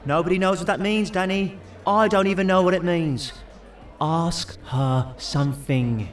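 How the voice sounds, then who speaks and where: A man's voice: high voice, unhurried, recorded from a distance, soft background music. An actor playing the mouthy, berating, panicky inner voice of an awkward British man in an audio drama. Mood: scolding. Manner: sweary, berating.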